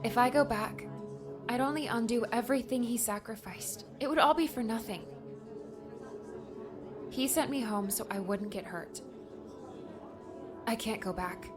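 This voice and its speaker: A woman's voice: a little high, a little slow, pauses often, close to an everyday voice. An actress playing an earnest, homesick young woman adventurer in an audiobook drama. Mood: regretful, resolute. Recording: music underneath.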